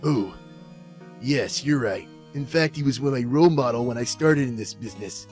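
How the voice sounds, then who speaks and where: A man's voice: mid-range voice, normal speed, a little mellow, natural voice. A man voicing a meek, earnest, hesitant actor character in an amateur game fandub. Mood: informative. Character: meek, earnest male action-show actor, gentle and unsure. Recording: music faintly behind the voice.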